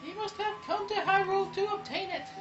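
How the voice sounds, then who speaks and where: A woman's voice: extremely high pitch, fairly quick. A woman voicing an earnest, pleading young princess in a crude parody dub of game dialogue. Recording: roomy, distant, dull lo-fi with game music.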